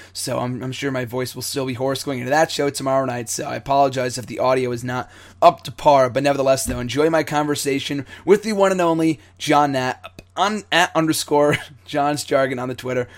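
Hoarse young man hosting a podcast. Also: mid-range voice. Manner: excitable, rambling. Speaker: podcast host. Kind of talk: casual wrestling podcast chat.

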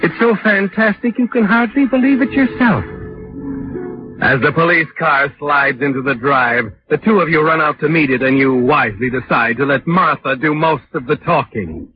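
Casting: man as knowing, ominous radio mystery narrator, highish animated voice, clear music behind. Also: normal speed, close to an everyday voice. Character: ominous, knowing male omniscient storyteller. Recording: muffled radio recording with loud music.

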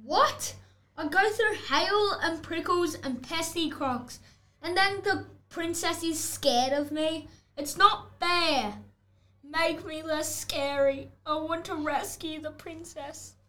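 A schoolboy of about eleven voicing a vain, indignant storybook wolf who protests and cuts in, in a scripted audiobook style. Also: male voice, very high voice, slow, sharp tone, heavily acted. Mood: outraged, pleading. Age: child, about 11 to 12. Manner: protesting, exclamatory, interrupting the narrator. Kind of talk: scripted picture-book audiobook acting.

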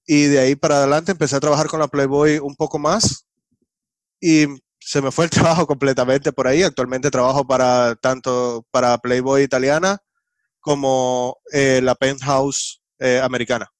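A man from Cuba with a Cuban Spanish accent, a guest on a podcast explaining his work in casual, relaxed talk. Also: medium pitch, normal speed, no echo. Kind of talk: casual interview chat.

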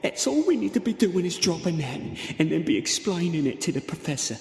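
A man voicing a grumbling, jittery Victorian grave robber with a rough manner of speech in an audio drama. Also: a little high, sing-song, a little fast, a little mellow, roomy sound, big-room sound, a little hiss or hum, pitched above the performer's own voice. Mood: flustered.

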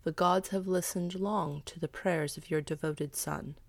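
Woman as a solemn, kindly old priest, low-toned. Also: fairly even intonation, a little slow, dry recording. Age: older. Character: kindly old bald male priest, solemn messenger of gods.

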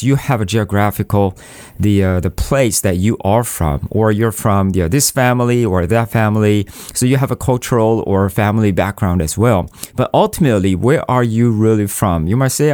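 Male pastor, lowish voice, deliberate sermon with restarts. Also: a little mellow, dry recording. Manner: slow, deliberate preaching with repeated phrases and restarts.

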